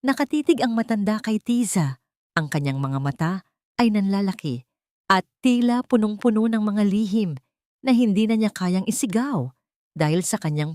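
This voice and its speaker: A woman narrator with a slightly low voice reads a scripted melodrama. The recording is dry and close, with little room sound. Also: darkish tone, no room sound. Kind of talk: scripted dramatic story narration.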